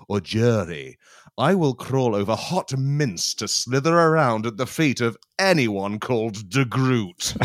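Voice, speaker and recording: A man's voice: medium pitch, no echo, clean studio sound. A man co-hosting a comedy podcast, playful and sweary. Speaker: podcast co-host.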